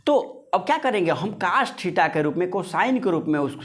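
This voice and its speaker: A man's voice: high voice, sing-song, moderate pace. A man giving an informal physics lesson, repeating phrases and posing questions that he answers himself, addressing listeners as friends. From India. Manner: conversational, repeats phrases, poses and answers his own questions. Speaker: physics teacher.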